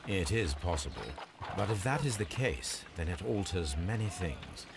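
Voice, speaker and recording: An actor playing a brilliant, precise English gentleman detective in a video game, speaking formally and coolly. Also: low voice, lively intonation, noisy background. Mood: calm, purposeful. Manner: formal, measured, coolly deductive delivery. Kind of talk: scripted video game dialogue.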